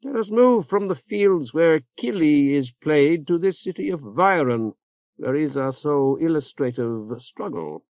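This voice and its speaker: A man's voice: a little high, expressive, unhurried, dry recording, pitched above the performer's own voice. An imperious female ruler holds the floor with a raised, lofty voice, as a male narrator puts her on. She orates in broken, ungrammatical English. The recording is dry and a little dull. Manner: grand, speechmaking, ungrammatical English.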